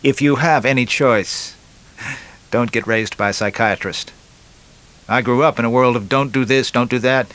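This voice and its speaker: A man's voice: medium pitch, normal speed, a bit bright, natural voice. A glib young man, acted out by a male narrator in a storybook, talks in a chatty, wisecracking way. He leans on words with punchy emphasis, and a streak of resentment runs under the joking. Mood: confiding, wry.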